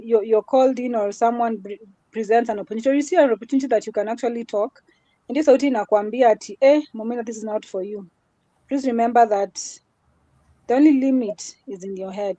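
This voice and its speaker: A woman's voice: fairly high pitch, mellow, no room sound, low-quality recording. A woman with a small voice, giving an earnest motivational talk as a guest speaker in a live session. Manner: earnest, repetitive, mixes Swahili into English.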